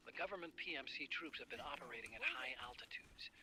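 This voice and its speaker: An actor playing a businesslike military briefer in a video game, giving reports in a crisp, matter-of-fact way. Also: male voice, medium pitch, fast talker, bright, forceful tone, in a whisper. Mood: cautioning.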